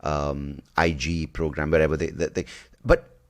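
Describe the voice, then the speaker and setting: Deep-voiced man, halting and restarting. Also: little pitch movement, clean tone. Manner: halting, with many restarts and fillers, pitch jumping up when emphatic. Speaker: podcast host. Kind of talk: casual podcast conversation.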